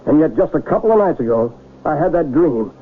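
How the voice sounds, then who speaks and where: An actor playing an earnest, principled small-town mayor under pressure in an old radio drama. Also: male voice, fairly high pitch, expressive, fairly quick, close to an everyday voice. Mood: troubled, defiant. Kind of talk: 1980 radio drama acting. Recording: noisy, tinny old radio broadcast recording.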